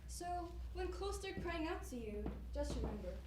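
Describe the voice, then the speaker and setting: A woman's voice: high voice, very animated, moderate pace, recorded from a distance. A woman acting a brutal, bossy professional closet organizer in a stage comedy, with a commanding, clipped, sarcastic delivery. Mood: brisk, commanding. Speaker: stage actor in a one-act play. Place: indoor stage before an audience, distant microphone. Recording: echoey, noisy stage recording from afar.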